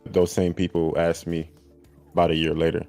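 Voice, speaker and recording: A man's voice: low voice, completely flat delivery, moderate pace, vocal fry, a little mellow, soft background music. A man guesting on a casual chat, talking in a laid-back, wry, joking way. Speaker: guest YouTuber.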